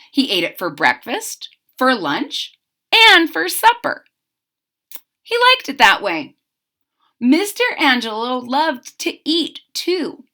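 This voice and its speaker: A woman reads a picture book aloud to children, unhurried and expressive. Her voice sits high and bright, leaping around in pitch, and she stops now and then for friendly asides to her listeners. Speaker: story reader.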